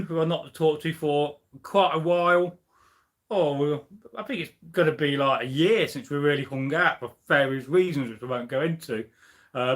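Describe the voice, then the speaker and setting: A young man from the UK hosting a loose, unplanned live stream in front of a camera on a tripod at home. His voice sits a little high, and he talks in a rambling, chatty way, full of ums, uhs and restarts. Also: a bit bright. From United Kingdom. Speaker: history channel host. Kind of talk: casual live stream chat.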